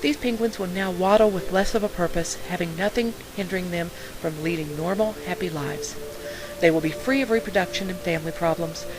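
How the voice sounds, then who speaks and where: A woman's voice: on the low side, fairly quick. A woman announcer reading a scripted parody commercial on a hissy recording. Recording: clearly noisy, hissy track.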